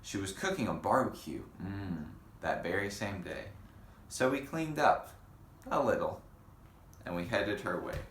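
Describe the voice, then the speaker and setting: A man reading a children's picture book aloud in an unhurried way, pausing for casual comments and questions. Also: low voice, very animated. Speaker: storybook reader. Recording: roomy echo with faint background noise.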